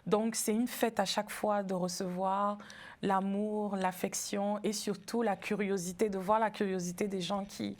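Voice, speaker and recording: A woman from Cameroon, a festival founder in her forties, talking in a friendly, thoughtful way in a TV interview, laughing easily and pausing with fillers. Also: mid-range voice, fairly even intonation. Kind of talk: TV interview conversation.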